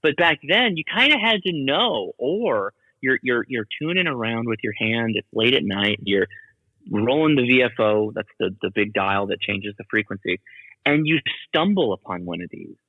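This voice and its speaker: A man's voice: mid-range voice, very animated, moderate pace, no echo, long reverb tail, narrow, tinny audio. A man talking as a podcast guest and radio hobbyist, explaining things in a casual, chatty way.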